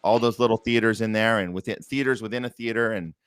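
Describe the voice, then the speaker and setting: A man's voice: on the low side, fairly even intonation, quick pace, clear, resonant tone, no echo. An American man hosting an arts community show, chatting informally and enthusiastically and making announcements. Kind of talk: casual chat with announcements.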